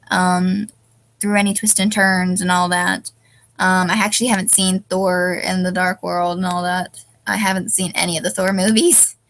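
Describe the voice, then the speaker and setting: A tired young woman, her nose stuffed up, chats casually at a mid-range pitch. She rambles, pauses often on "um", and loses her place mid-thought. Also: fairly bright tone, slight background noise. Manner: hesitant, many ums, loses her train of thought. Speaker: fanfiction reviewer.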